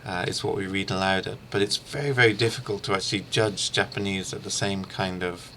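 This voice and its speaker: A middle-aged man giving an informal instructional screencast as a language teacher, haltingly thinking aloud. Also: low-pitched, steady tone, bright, forceful tone, a little hiss or hum. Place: small room lined with shelves, indoors.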